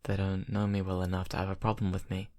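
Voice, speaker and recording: A man's voice: sits low, completely flat delivery, fast, a little mellow, natural voice. A man playing a brooding young vampire confiding in his best friend in an ASMR roleplay, hesitant and intimate. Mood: brooding, guarded.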